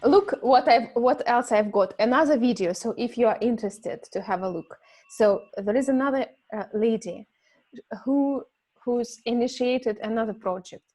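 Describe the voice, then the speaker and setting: A Russian-native woman hosting an English conversation club over a video call, talking casually and halting often with fillers and restarts. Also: a little high, a little mellow. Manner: hesitant, many fillers and restarts. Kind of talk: casual teaching chat.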